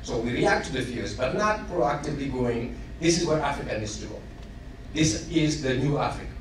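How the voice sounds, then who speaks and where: A man's voice: mid-range voice, expressive. Senegalese man speaking from a far, echoey hall. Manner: halting, many fillers, building emphasis. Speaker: audience member asking a question. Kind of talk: formal public comment at a panel. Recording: echoey hall, distant mic, noisy.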